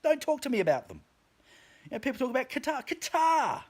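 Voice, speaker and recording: Fiery, swearing man ranting with wide pitch swings. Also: sing-song, a little fast. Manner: emphatic, heavy swearing, stumbling restarts. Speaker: vlogger. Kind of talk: profane opinion rant to camera.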